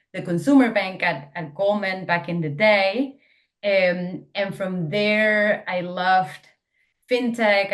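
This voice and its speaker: A young woman from Venezuela, a startup founder, answering interview questions in an eager, talkative way with many fillers and restarts. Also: lowish voice. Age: young adult.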